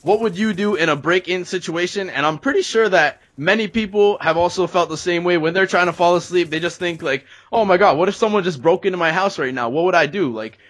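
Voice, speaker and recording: A man's voice: fairly high pitch, a bit bright. A young man from Canada hosting a gaming series with casual storytelling commentary. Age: young adult.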